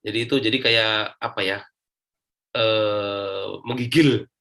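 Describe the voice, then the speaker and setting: A man with a fairly low voice and an Indonesian accent speaks as an interview guest. He gives his own account casually, explaining it step by step in colloquial speech. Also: fairly even intonation, unhurried, pushed and bright. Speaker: interview guest giving a personal testimonial. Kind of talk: casual spoken personal account.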